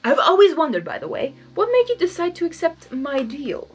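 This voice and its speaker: A woman acts out a cocky male monster of chaos in a storybook reading, swooping about in pitch. He is theatrical, breezy and teasing, with emphatic delivery over music. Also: wildly swooping pitch, a little fast. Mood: curious.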